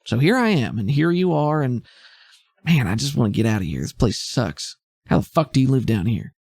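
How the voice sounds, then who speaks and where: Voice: medium pitch, big pitch swings, fairly quick, darkish tone, husky, dry recording. A game master voicing a smug, evasive female thief with a slangy, cocky, grumbling way of talking in a tabletop game. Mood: defensive, grumbling. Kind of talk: improvised tabletop roleplay.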